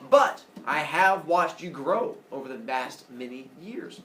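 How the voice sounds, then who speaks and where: Casting: man playing grand, solemn giant sun god for a myth tale, forceful and booming, roomy sound. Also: lively intonation, measured pace. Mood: solemn, proud. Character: mighty, gigantic male sun god, solemn proud father. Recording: roomy, distant mic, faint noise.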